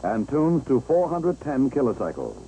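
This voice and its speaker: An American man narrating a 1960s instructional film, explaining step by step in a measured, clear way. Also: medium pitch, very animated, warm tone, low-quality recording. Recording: old 1960s film soundtrack, engine-like background noise.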